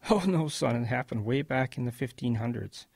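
Creaky, husky old grandfather. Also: mid-range voice, moderate pace, no room sound, studio quality. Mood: animated reminiscing. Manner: gentle, animated storytelling. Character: kindly old grandfather reminiscing about wartime. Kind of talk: radio drama acting.

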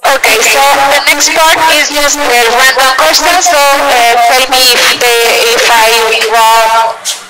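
Slow, hesitant high-voiced woman student. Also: steady tone, poor audio. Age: 30s. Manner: hesitant, many fillers and restarts. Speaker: language student. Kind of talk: casual lesson talk.

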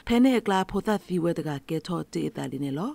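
A woman's voice: a little fast, heavy background noise. A woman reading scripted news for the radio. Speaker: news reader.

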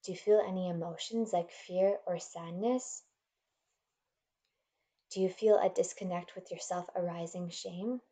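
Woman doing guided meditation, kinda low warm mellow voice, gentle and soothing, leaves little pauses. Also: steady tone.

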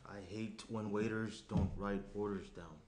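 A man acting an earnest, deep-voiced young fiancé. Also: steady tone, a little mellow, natural voice. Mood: fussy, chatty. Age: young adult. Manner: halting, hesitant, circling the point. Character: awkward, earnest young professional fiancé, fussy. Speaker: actor reading a lead part. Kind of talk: screenplay table read, naturalistic. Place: small indoor room around a table. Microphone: shared USB tabletop microphone. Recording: roomy echo, clear noise.

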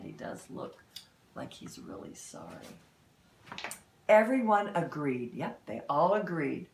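Female picture-book reader, fifties: expressive, very unhurried, gravelly edge. Roomy home recording, slightly off mic. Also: medium pitch, recorded from a distance. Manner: expressive, exclamatory, with dramatic pauses and asides. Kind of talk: animated read-aloud storytelling for young children. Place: small indoor room at home.

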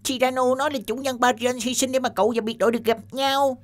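A woman's voice: sits high, steady tone, fast talker, slight background noise, lightly acted. A woman voicing a fussy, hesitant robot sidekick in a dubbed cartoon. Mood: exasperated. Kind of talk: Vietnamese cartoon dub.

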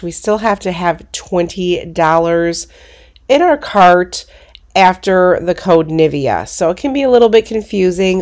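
A woman's voice: on the low side, darkish tone. Congested woman walking through coupon deals. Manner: explains steps in a brisk, practical way. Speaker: coupon deals channel host. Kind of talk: voice-over screen walkthrough of shopping deals.

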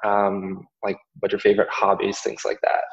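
A man conducting a casual interview and gently prompting his guest. The recording sounds dull and lo-fi, with a long reverb trailing his voice. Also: on the low side, monotone, normal speed.

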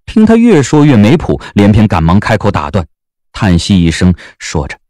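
A man narrating a historical romance audio drama as an expressive storyteller. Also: lowish voice, normal speed, no room sound.

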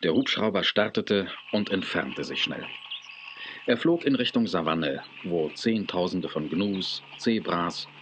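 An actor narrating a children's audio drama in a measured, scripted storytelling way. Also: male voice, sits low, animated, noisy background. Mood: measured, descriptive.

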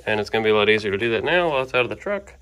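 A man casually narrating his work on a truck in his garage shop, relaxed and rambling as he talks while working. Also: lowish voice, very fast, background noise.

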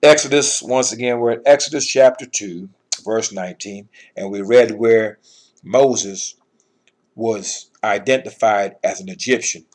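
A middle-aged man with a husky voice giving an informal scripture lesson in an emphatic, admonishing manner. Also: fairly low pitch, a little slow, a little mellow. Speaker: Bible teacher.

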